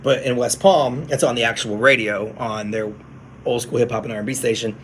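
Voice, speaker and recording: A man who works as a radio DJ, chatting casually on a phone livestream from his studio, relaxed and explaining things to viewers. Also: mid-range voice, a little fast, slight background noise.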